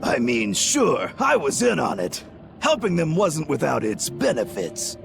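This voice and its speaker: A man's voice: fairly high pitch, huge pitch swings, measured pace, heavily acted. An actor playing a bitter male sailor who is set on revenge, speaking in a sardonic, scheming way in a video game cutscene. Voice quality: gravelly. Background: game music.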